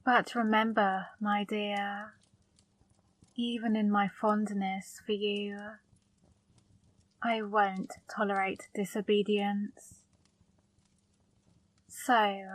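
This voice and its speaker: A woman voicing a flirty, controlling female demon who coaxes and softly commands in an intimate ASMR roleplay. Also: mid-range voice, dry recording, poor audio. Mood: coaxing, possessive. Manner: slow, deliberate, coaxing, softly commanding. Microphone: close microphone.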